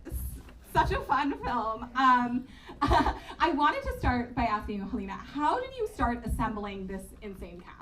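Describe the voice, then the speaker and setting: High-voiced woman moderating a live Q&A. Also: big pitch swings, measured pace, a bit bright. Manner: bubbly, with filler ums. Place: event venue with an audience. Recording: distant, with crowd and noise.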